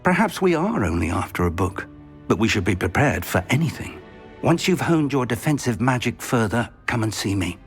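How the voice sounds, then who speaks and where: A man playing a cautious older professor and mentor in a video game, deliberate and authoritative. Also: medium pitch, sing-song, faint music behind. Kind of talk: scripted video game acting.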